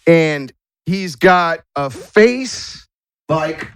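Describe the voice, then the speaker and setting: A man with a slightly high voice and lively, swinging intonation. He runs a tabletop role-playing game, narrating scenes and putting questions to players as game master. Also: drawn-out words, smooth.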